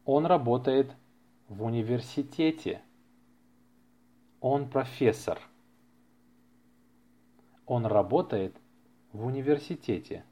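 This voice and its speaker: A man's voice: medium pitch, takes it slowly, long pauses, mellow. A man hosting a language-lesson podcast, reading practice dialogues with careful enunciation and repeating phrases for learners. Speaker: language teacher and podcast host. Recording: slightly muffled lo-fi podcast recording.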